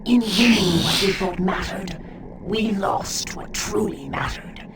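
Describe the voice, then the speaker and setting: A performer voicing an eerie spectral guardian in a video game, whispering and then shouting. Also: mid-range voice, lively intonation, slow pace, creaky, far from the mic, heavy background noise.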